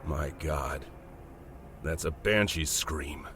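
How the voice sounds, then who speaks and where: A man's voice: a bass voice, slow, sharp tone, a little hiss or hum, heavily acted. A man voicing a commanding cavalry general in a horror story, urgent and hiding his fear. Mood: hushed dread. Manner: barking, urgent orders. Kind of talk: dramatic horror story character.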